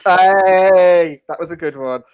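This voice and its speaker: A woman hosting a panel game in a playful, excitable, teasing manner. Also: fairly low pitch, takes it slowly, slight background noise. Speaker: host of a panel show.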